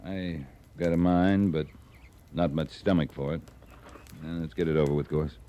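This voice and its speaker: An actor playing a gruff, steady frontier cavalry captain with an American accent, terse and dry, in an old-time radio western. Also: male voice, rumbling low, moderate pace, lo-fi sound, noisy background. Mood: reluctant, resolute.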